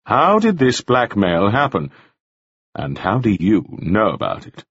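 A man playing a stern, slow-spoken jailer. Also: lowish voice, huge pitch swings, fairly bright tone, breathy edge, no echo, exaggerated voice. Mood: probing. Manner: slow, probing, demanding. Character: stern, calculating male jailer. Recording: dull lo-fi recording.

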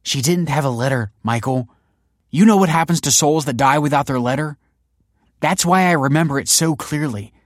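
A man's voice: on the low side, normal speed, husky, no echo, clean studio sound. A man voicing an alarmed, insistent woman in a narrated story, emphatic and pleading. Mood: frightened, insistent. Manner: emphatic, pleading, stammering when frightened.

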